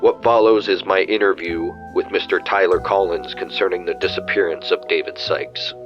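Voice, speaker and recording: An actor playing a calm, officious 1990s government inspection agent in an audio drama, speaking in a formal, measured, deliberate way. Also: male voice, low voice, highs cut off, over music, natural voice. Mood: grave, official. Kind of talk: scripted audio drama, dictated report.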